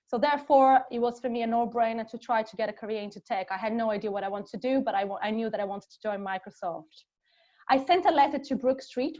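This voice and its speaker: A woman's voice: on the high side, darkish tone. A woman in her thirties from Brazil with a Brazilian accent, giving an upbeat webinar talk from her home. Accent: Brazilian Portuguese. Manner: upbeat, engaging the audience. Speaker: guest speaker. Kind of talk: webinar presentation. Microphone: video call. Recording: muffled video call audio.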